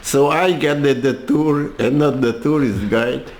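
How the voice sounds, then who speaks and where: Slow, halting older Hungarian-accented man. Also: medium pitch, darkish tone, roomy sound. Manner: halting, with many restarts and repeated words. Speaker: interviewee. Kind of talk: personal storytelling.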